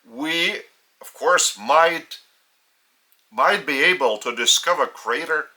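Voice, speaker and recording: A man with a Lithuanian accent, a native Lithuanian speaker, giving a solemn spiritual teaching in English in slow, deliberate phrases with long pauses. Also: mid-range voice, pushed and bright.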